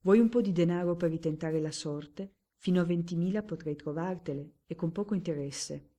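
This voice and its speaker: Lady reading as a sly young marquis, low mellow voice, coaxing and hushed. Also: moderate pace, close to an everyday voice. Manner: hushed, persuasive, confiding. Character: sly, genial young male marquis, smooth-talking friend. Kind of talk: audiobook character voice.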